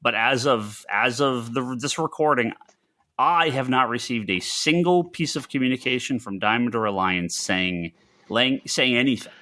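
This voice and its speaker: A man co-hosting a casual podcast on industry news, talking in a halting, thinking-aloud way with emphatic repetition. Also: medium pitch, pushed and bright. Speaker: podcast co-host who runs a comics store.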